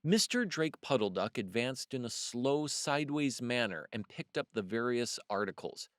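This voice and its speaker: A man narrating a children's storybook as a storyteller, expressive and deliberate in his emphasis. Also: medium pitch, dry recording, studio quality. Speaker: storyteller, host of a read-aloud series.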